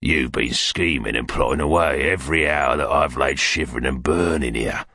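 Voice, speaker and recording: A man's voice: rumbling low, normal speed, bright, forceful tone, no room sound, studio quality, pitched below the performer's own voice, heavily acted. A man voicing a brutish, bitter London criminal in an audiobook, growling and surly with a Cockney accent. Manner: surly, demanding. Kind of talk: audiobook character acting.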